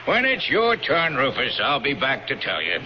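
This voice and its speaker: An actor playing a matter-of-fact Roman arena guard in a radio drama. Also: male voice, medium pitch, huge pitch swings, moderate pace. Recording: dull, noisy old radio broadcast.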